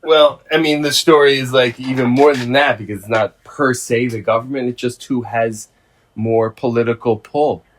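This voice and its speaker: A middle-aged man in a casual phone conversation, speaking informally and haltingly, with some swearing. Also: mid-range voice, fairly even intonation. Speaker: host, former prisoner.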